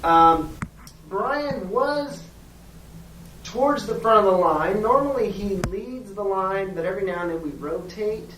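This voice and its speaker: A person playing a polite schoolteacher on a call to a parent, speaking in a measured, hesitant and diplomatic way with frequent pauses. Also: mid-range voice, expressive, fairly bright tone. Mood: polite, careful. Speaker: teacher. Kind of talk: role-played phone call demonstration. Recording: echoey room, distant mic, faint noise.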